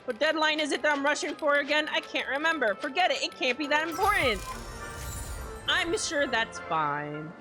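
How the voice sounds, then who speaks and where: Casting: female game streamer, high and lively voice, casual musing reactions, music underneath. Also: normal speed. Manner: musing aloud, questioning. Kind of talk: casual live stream commentary.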